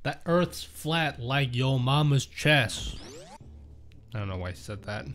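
A man's voice: medium pitch, expressive, takes it slowly, a bit bright, faint music behind. A man streaming a game, chatting casually and joking with his viewers as he reads their messages aloud. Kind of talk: casual chat while playing a game.

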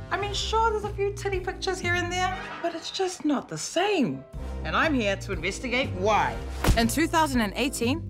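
A young woman from South Auckland with a New Zealand accent, hosting with cheeky, playful comic narration. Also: high voice. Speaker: host.

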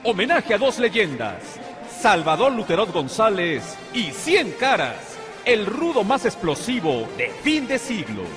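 A man's voice: sits high, sing-song, unhurried. A man reading a dramatic, grandiose scripted documentary narration, played over arena speakers. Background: loud music.

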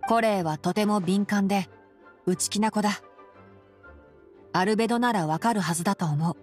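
A woman's voice: mid-range voice, a little mellow, soft background music, close to an everyday voice. Woman as a composed, boyish young scholar. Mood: calm, thoughtful. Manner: measured, explanatory, dry. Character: clever, composed young male scholar, gently dry. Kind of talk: Japanese anime game voice acting.

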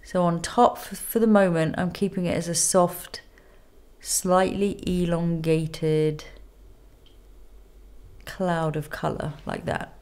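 A woman in her thirties with a cold and a nasal voice, calmly talking through a makeup tutorial step by step in a studio. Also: lowish voice, takes it slowly. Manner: calm step-by-step instruction while applying makeup. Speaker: makeup artist. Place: indoor studio with plain grey backdrop.

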